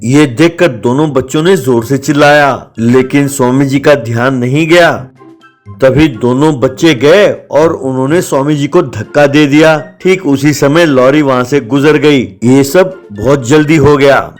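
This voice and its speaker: A man's voice: mid-range voice, a little slow. A man reading a scripted moral story as its narrator. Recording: music behind the voice. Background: background music.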